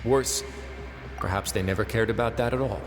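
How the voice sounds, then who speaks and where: A man playing a composed, brooding duke who runs a fortress, speaking gravely and deliberately in video game dialogue. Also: fairly low pitch, over music, natural voice. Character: composed, brooding adult male fortress duke with dark past.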